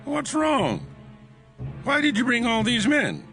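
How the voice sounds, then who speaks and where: A man's voice: very high-pitched, huge pitch swings, slow pace, fairly bright tone, faint music behind, exaggerated voice. A man voicing a scheming Danite spy who talks in a sly, questioning way. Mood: challenging.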